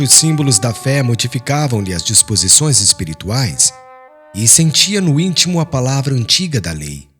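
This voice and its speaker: A man reading an audiobook as a solemn, formal and dramatic literary narration. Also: mid-range voice.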